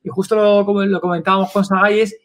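Fast, high-pitched Spanish man chatting. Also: level tone. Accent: Spanish from Spain. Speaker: podcast host. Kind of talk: casual chat.

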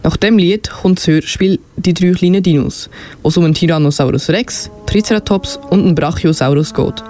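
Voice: mid-range voice, a little fast, mellow. A male presenter making a radio announcement with guitar music behind him.

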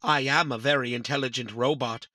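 A woman's voice: extremely low pitch, steady tone, fairly quick, bright, forceful tone, dry recording, clean studio sound. A woman voicing a proud, childlike, very intelligent little robot with a sassy, literal manner in a family audio drama. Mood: indignant, proud. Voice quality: funny-sounding. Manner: sassy, literal, self-satisfied.

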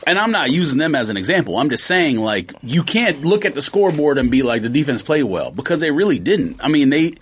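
A man co-hosting a podcast, debating football fast and insistently in casual talk. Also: a little high, breathy edge. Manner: animated, argues fast with stats. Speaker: podcast co-host.

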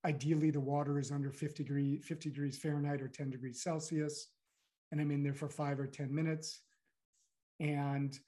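A middle-aged man from the United States, a guest speaking reflectively in an interview, pausing often and filling with "you know". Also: on the high side, darkish tone. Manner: thoughtful, halting, many "you know"s.

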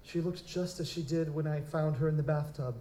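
Male actor for grieving young aristocrat, higher register, nervous and formal, easily panicked, echoey noisy live-stage drama recording. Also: steady tone, brisk, warm tone, close to an everyday voice. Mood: shaken. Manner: stammering, formal, fretful. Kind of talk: live audio drama acting.

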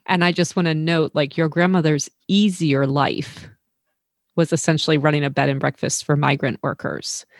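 A woman hosting a podcast, asking thoughtful, measured questions and pausing to reflect. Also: deep voice, fairly even intonation, no room sound, clean studio sound. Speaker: podcast host. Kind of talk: interview questions.

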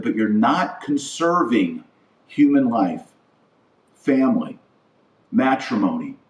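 Low-voiced American man in an echoey room. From United States. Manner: emphatic, repeats words and builds lists for emphasis. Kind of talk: earnest political-religious monologue to viewers. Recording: roomy echo, distant from the mic.

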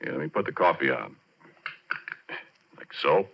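A real deep easygoing oil rig worker, folksy slangy talk, old muffled 40s radio show. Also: male voice, lively intonation, normal speed, vocal fry, slightly rough, heavily acted. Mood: easygoing, hearty. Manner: folksy, colloquial. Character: easygoing rough-and-ready oil driller telling an eerie tale. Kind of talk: 1940s radio drama acting. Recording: muffled 1940s radio recording.

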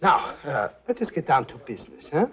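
A high, husky-voiced schemer, swings widely in pitch. He is sly, formal and excitable, in a 1950s spy drama on a dull old soundtrack. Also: a little fast, exaggerated voice. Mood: annoyed, uneasy. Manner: excitable, formal, stammering under pressure. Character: sly, nervous Swiss innkeeper go-between selling a secret document.

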